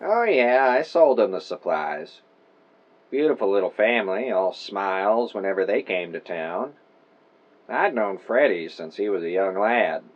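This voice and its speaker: A man's voice: lowish voice, expressive, unhurried, highs cut off. An actor playing an old small-town storekeeper in an audio drama, wry, friendly and folksy in his phrasing. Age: older.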